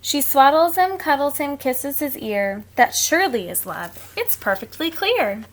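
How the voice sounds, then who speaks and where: A woman's voice: sits high, measured pace, slight background noise. A woman reading picture books aloud to children like a teacher, expressively, with simple casual asides. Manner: expressive, simple explaining to young listeners.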